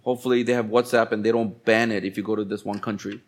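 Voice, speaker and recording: A man's voice: lowish voice, level tone, fast talker. A man preaching as a pastor in colloquial, exhorting language, repeating phrases for emphasis. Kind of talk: sermon.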